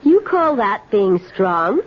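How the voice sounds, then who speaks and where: A woman's voice: medium pitch, sing-song, takes it slowly, a little hiss or hum. A woman playing a brazen young drifter girl in a 1970s radio drama, taunting, coaxing and commanding. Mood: scornful, goading. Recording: dull, muffled old radio broadcast.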